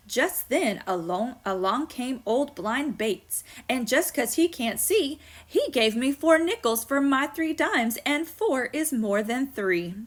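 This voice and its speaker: A woman's voice: a little high, a bit bright. A woman voicing a naive little boy who boasts proudly in a children's poem read aloud.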